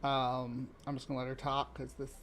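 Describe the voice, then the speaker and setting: A man co-hosting a livestream, with joking asides and quick, chatty interjections. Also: medium pitch, completely flat delivery, a crowd in the background. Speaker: co-host. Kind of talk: livestream commentary.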